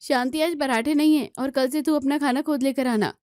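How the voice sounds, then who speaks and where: A woman's voice: high voice, steady tone, fairly quick, a little mellow, dry recording, clean studio sound, pitched above the performer's own voice, natural voice. A woman voicing an anxious, complaining young daughter-in-law in an Indian family story, with fretful, emphatic delivery. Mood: firm, scolding. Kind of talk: acted Hindi family story dialogue.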